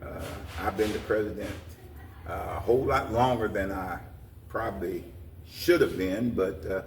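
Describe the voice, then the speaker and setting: Low-voiced older man, echoey room. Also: normal speed. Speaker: interview guest, community organization president. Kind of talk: unscripted interview answers. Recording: roomy echo, distant mic, faint noise.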